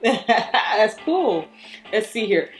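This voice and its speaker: A woman presents a casual toy review at an unhurried pace. She explains each thing simply, as if showing it to a child as well as to viewers. Clear music plays behind her voice. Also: medium pitch, very animated. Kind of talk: casual unboxing and toy demonstration.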